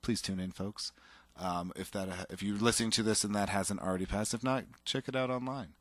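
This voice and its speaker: A man co-hosts a casual talk show, his voice sitting on the lower side. He talks eagerly, rambling with fillers and restarts. The sound is dry and close, with no room around it. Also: fairly even intonation, brisk, dry recording. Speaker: podcast co-host. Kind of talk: casual chat.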